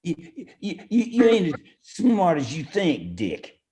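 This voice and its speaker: A man's voice: sits high, huge pitch swings, slow, dark tone, exaggerated voice. An actor playing a dim, menacing mobster henchman with a stammer in a campy noir radio play. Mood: bumbling menace.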